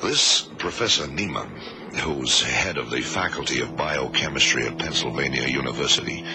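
An actor playing a cool, authoritative government agent in a radio drama, explaining things in a measured, commanding way. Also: male voice, rumbling low. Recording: noisy old radio recording.

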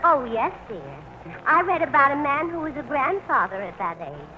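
A faintly hissy vintage radio recording of a trusting, doting sweetheart. Her voice is very high and lilting, with a naive, placating manner suited to period comedy. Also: very animated, moderate pace, highs cut off. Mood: soothing, eager. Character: trusting, patient, doting long-engaged sweetheart. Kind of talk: 1940s radio comedy acting. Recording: hissy old radio broadcast.

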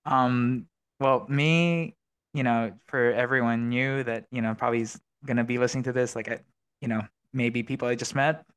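A man's voice: medium pitch, fairly even intonation, normal speed, a bit bright, clean tone. A man hosting a casual podcast, rambling with many fillers and restarts. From Filipino American, living abroad. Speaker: podcast host.

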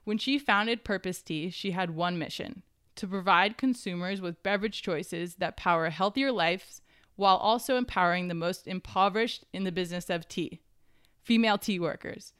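A woman's voice: fairly low pitch, normal speed, fairly bright tone, clean tone, dry recording, clean studio sound. A woman hosting a podcast, introducing her guest and asking questions in an upbeat, enthusiastic way. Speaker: podcast host.

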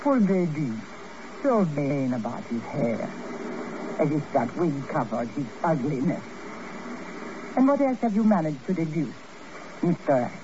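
A cold, scheming princess from a vintage radio thriller. She speaks formally and haughtily, with theatrical, lively swings of pitch. The old recording is muffled, with heavy noise. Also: measured pace, pauses often. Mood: mocking. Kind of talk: 1950s radio drama acting.

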